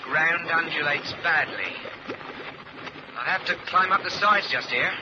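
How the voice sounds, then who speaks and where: An actor playing a brisk professional male deep-water diver reporting by talkback in a muffled, noisy old radio drama. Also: close to an everyday voice. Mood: businesslike.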